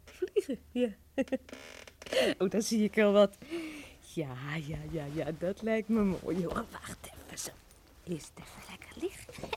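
An actress as Maartje, lively sceptical housekeeper. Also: female voice, medium pitch, a little slow, a little hiss or hum, close to an everyday voice. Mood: playful, dreamy. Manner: exclamatory, muttering and chuckling to herself. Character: Maartje, sceptical, good-humoured, chatty housekeeper woman. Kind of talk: Dutch audio drama acting for children.

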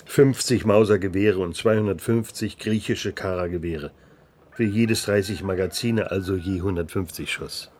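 A man's voice: low voice, normal speed, a little mellow. An actor playing a frightened, cautious village headman in a radio play. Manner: anxious, cautious, hesitant.

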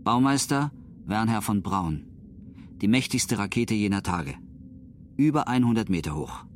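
A man's voice: lowish voice, animated, a little slow, a little hiss or hum. A man reading scripted documentary narration as a storyteller of history.

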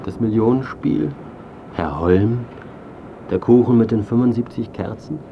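An actor playing a disillusioned, self-absorbed biographer in a radio drama, speaking and narrating in a dry, clipped, reflective way. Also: male voice, medium pitch, a little slow, lightly acted. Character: disillusioned male biographer, self-absorbed, adrift, dryly bewildered. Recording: muffled, clear background ambience noise.

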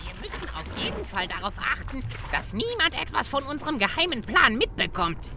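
A man's voice: very high-pitched, sing-song, a little fast, background noise, full character voice. A man playing a scheming, gloating gnome villain in a comedy fantasy audio play, with theatrical delivery. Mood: conspiratorial.

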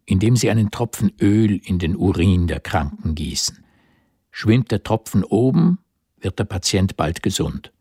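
An actor as the storyteller of a historical radio drama, giving composed, literary scripted narration. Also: male voice, low voice, a little mellow, slightly rough, dry recording, clean studio sound, heavily acted.

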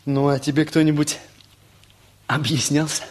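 Husky, curious teenage lad. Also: male voice, on the high side, flat delivery, measured pace. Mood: curious, probing. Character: curious, casual youth just out of school. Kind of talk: radio play acting.

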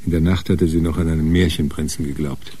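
A man narrating a crime radio play in a measured, sober storytelling manner. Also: extremely low pitch, steady tone, dark tone, slight background noise.

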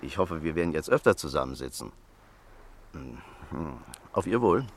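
A man's voice: extremely low pitch, sing-song, normal speed, exaggerated voice. An actor in a radio play voicing a stocky, pushy, jovial American neighbor in his fifties who talks insistently and chuckles.

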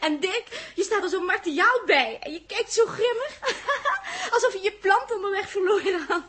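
A woman's voice: extremely high pitch, sing-song, moderate pace, fairly bright tone, heavily acted. A woman acting a jumpy, teasing, affectionate space traveller in a 1960s Dutch radio play, with exclamations and playful stammers. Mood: relieved, teasing.

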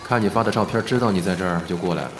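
Man acting deep-voiced, cool male star. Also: rapid-fire, music in the background, natural voice. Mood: wry, teasing. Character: cool, casual male celebrity hiding his feelings. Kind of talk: audio drama acting. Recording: clearly noisy background ambience.

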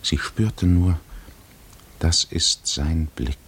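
A man narrating a literary 1950s radio drama in measured, deliberate phrases with pauses. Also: low voice, breathy edge.

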